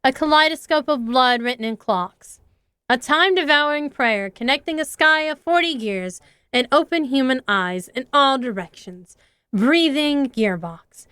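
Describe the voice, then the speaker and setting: A woman voicing a shy, intense teenage schoolgirl, soft and hesitant, reading game dialogue aloud. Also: high-pitched, animated, measured pace, clear, resonant tone, no room sound, heavily acted.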